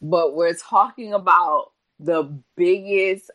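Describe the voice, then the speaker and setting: A woman's voice: fairly low pitch, slow pace, fairly bright tone, dry recording. A woman co-hosting a wrestling podcast, blunt and sardonic, swearing freely in casual chat. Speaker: podcast co-host.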